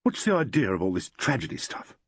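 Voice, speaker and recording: Man playing a theatre manager, quiet, clipped, animated pitch, dry sound. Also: a little fast, slightly rough, dry recording. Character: shaken theatre manager, round-faced, quiet authority.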